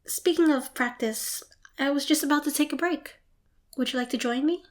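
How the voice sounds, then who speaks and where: A young woman playing a shy, nervous, excitable theatre student in an ASMR roleplay, hesitant, flustered and apologetic. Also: sits high, moderate pace, slight background noise, close to an everyday voice. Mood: nervous, awkward. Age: young adult. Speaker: amateur voice actor.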